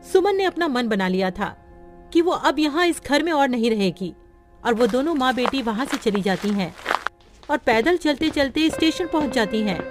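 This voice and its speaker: Woman narrating a children's cartoon story. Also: sits high.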